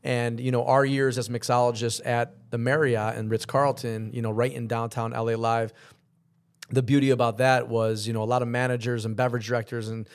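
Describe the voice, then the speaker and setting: A middle-aged man talks as a guest on a podcast. His pitch is average for a man and his manner is chatty and casual. He speaks into a studio microphone indoors. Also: level tone, a little fast. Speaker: podcast guest, business owner. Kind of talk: casual chat. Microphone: studio mic on boom arm.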